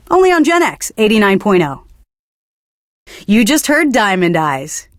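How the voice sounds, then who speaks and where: A pretentious young indie radio DJ for a video game, acted by a woman. She delivers song patter with earnest, condescending self-importance at a middling pitch. The sound is very dry and close. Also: no room sound, lightly acted. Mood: pretentious, lofty. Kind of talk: radio DJ patter between songs.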